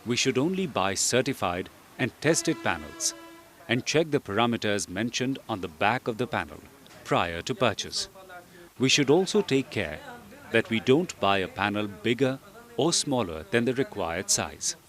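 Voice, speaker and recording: A man reading scripted instructional narration for a training film in a measured, didactic way. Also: fairly low pitch, lively intonation, fairly bright tone. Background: background music.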